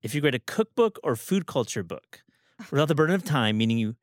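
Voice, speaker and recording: A man's voice: medium pitch, lively intonation, brisk, no room sound, studio quality. A man hosting a podcast, interviewing in an informal, engaged, conversational way.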